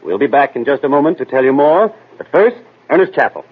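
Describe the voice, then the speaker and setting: Animated man hosting tinny old-time radio mystery. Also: medium pitch, fairly quick, husky. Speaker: host, narrator. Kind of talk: scripted 1940s radio narration.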